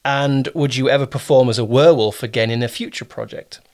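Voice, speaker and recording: A man from Kent with a southern English accent, hosting a conversational interview in a chatty, reassuring way and often pausing to explain things. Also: medium pitch, steady tone, a little mellow. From Kent, southern England. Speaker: interview host, producer and director.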